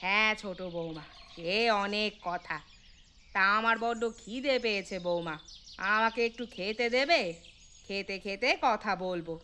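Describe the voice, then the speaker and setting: A woman's voice: mid-range voice, measured pace, sharp tone, slight background noise. A woman voicing a gluttonous, self-pitying elderly mother-in-law in a cartoon story, with a demanding, whining manner. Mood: plaintive, then hungry, demanding.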